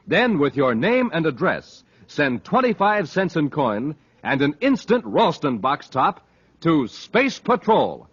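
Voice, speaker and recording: Muffled vintage radio recording of an American man announcing in 1950s style. His husky voice sits fairly high and swings widely as he delivers scripted copy with dramatic, showmanlike emphasis. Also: a bit bright. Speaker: announcer, narrator.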